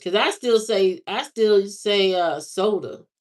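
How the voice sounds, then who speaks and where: Slow-talking woman chatting on a livestream. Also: mid-range voice. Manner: slow, drawling, musing. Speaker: livestream host. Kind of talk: casual livestream chat answering viewers. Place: lives in Indiana, not from there.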